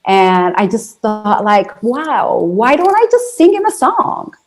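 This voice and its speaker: A woman from Ethiopia whose first language is Amharic, telling her story as an interview guest in a relaxed, conversational way. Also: medium pitch, takes it slowly. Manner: storytelling, with restarts and fillers. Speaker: interview guest, singer and speaker.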